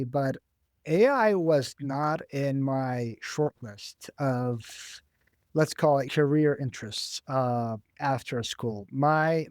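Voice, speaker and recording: A young man whose first language is Arabic speaks slowly and deliberately, in a middle-range voice, pausing often on "uh" as he thinks. He is a graduate student guest in a career interview. The sound is dry and close. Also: mid-range voice, darkish tone, no echo. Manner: measured, thoughtful, with frequent "uh" pauses.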